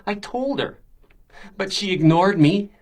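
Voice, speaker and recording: An actor playing a menacing, self-pitying stalker in a radio crime drama, taunting and insinuating with mock politeness. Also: male voice, sits high, expressive, unhurried. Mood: bitter, insinuating.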